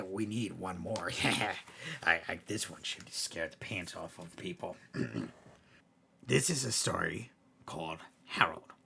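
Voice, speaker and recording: A man with a rough voice reading horror stories aloud in a dramatic way, dropping to a hush at phrase ends. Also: low voice. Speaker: story reader, host of a bedtime story show. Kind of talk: expressive read-aloud horror storytelling.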